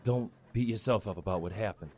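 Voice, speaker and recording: An actor playing a kindly small-town mayor in an audio drama, talking in a folksy, informal, reassuring way. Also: male voice, on the low side, a little fast, darkish tone, slightly rough. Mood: somber, consoling.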